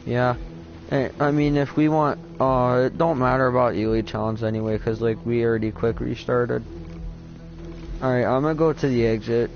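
A deep-voiced teenage boy chatting casually with a friend while playing a game, laid-back and swearing a lot. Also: steady tone, normal speed, muffled recording, faint music behind. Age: teen. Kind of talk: casual gaming chat.

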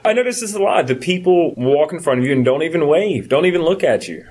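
Fast-talking man in his thirties. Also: a little high, sing-song, a little mellow, husky. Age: early 30s. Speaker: podcast co-host. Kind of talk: casual chat.

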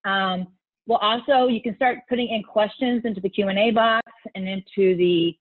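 An American woman in her forties with a medium-pitched voice moderates an online event. She explains things in a clear call-to-action style. The audio is thin and phone-like. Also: steady tone, moderate pace. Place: bright room at home, on video call.

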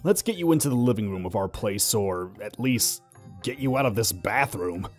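A man voicing a flustered, kindly, awkward young man with an expressive delivery in an animated roleplay. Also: on the low side, normal speed. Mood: kindly, coaxing. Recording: background music under dialogue.

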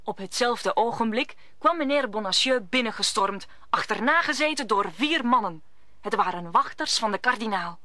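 A woman's voice: on the high side, lively intonation, normal speed, pushed and bright. A woman narrating a radio play in a scripted storybook style.

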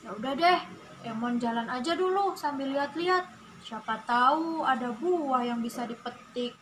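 A woman voicing a kind, protective young monkey in simple childlike talk with drawn-out endings, for a children's puppet story. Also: high voice, expressive, fairly bright tone, slight background noise, low-quality recording. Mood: puzzled.

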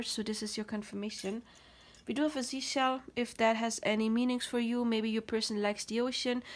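Tired woman reading tarot, dry throat. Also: on the high side. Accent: German. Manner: gentle, explanatory, reassuring. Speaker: tarot card reader. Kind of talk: pick-a-card tarot reading. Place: home, at a table. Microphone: camera over the table, speaker off screen.

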